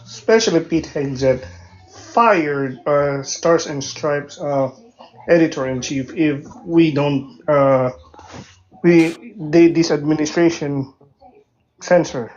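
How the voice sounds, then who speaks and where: Slow, halting woman on muffled voice chat. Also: deep voice, a little mellow. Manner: halting, full of fillers and restarts. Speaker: caller in an online voice chat debate. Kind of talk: political argument. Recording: dull lo-fi voice chat audio.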